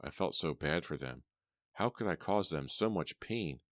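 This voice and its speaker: A nervous teenage girl, acted by a male narrator, speaks in a shaky, breathless way in a storybook reading. The voice rises high above his very deep natural tone, heard dry and close. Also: expressive, normal speed, no room sound. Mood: anxious. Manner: breathless, anxious. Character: frightened teenage girl lifeguard, earnest.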